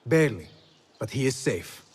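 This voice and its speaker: An earnest young rebel agent, measured. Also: male voice, mid-range voice, very animated, breathy edge. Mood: resolute. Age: young adult. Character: young male street thief turned rebel agent, earnest, determined. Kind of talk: video game cutscene acting.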